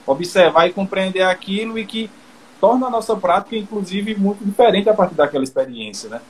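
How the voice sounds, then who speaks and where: Brazilian podcast host with faint background noise. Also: male voice, high voice, lively intonation, brisk, rough recording. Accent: Brazilian Portuguese. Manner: engaged, asks long winding questions. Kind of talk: casual interview talk.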